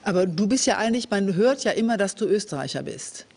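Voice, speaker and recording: A woman from Düsseldorf hosts a studio talk show. Her delivery is quick and direct, with casual questions that she readily interjects. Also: mid-range voice, lively intonation, a little mellow. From Düsseldorf, Germany. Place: TV studio, indoors.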